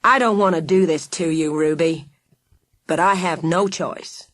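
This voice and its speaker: A woman's voice: on the low side, measured pace, no room sound, studio quality. A woman voicing a reluctant, remorseful male henchman who speaks apologetically and hesitantly. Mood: apologetic.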